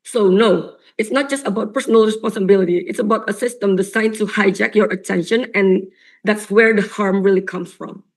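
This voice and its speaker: A young woman debater with a raspy voice from coughing, delivering an earnest, emphatic competitive debate speech with frequent repetitions. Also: medium pitch, brisk, low-quality recording. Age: young adult. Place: online or stage debate venue.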